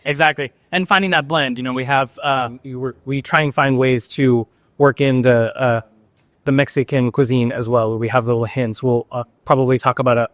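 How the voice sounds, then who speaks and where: Chatty man, bartender type, middle pitch, close dry sound, eager and quick with stories. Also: steady tone, no room sound. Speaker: bartender and co-owner. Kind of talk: casual interview talk. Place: restaurant.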